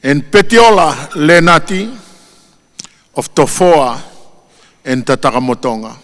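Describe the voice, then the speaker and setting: Slow, solemn man with a Tongan accent. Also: a little high, animated, fairly bright tone. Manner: solemn and measured, lifting his voice on each name. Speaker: eulogist at a funeral. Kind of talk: formal funeral eulogy. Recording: long hall reverb.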